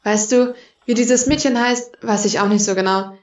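A woman's voice: on the high side, steady tone, normal speed, lightly acted. A woman playing a strict but teasing mother in a German audio drama, with dry, scolding delivery. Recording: dull, roomy, noisy recording.

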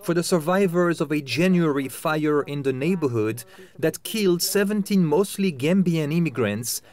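A man in his thirties reading a scripted news report in a measured, clear way. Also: fairly high pitch, expressive, a little mellow. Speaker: correspondent.

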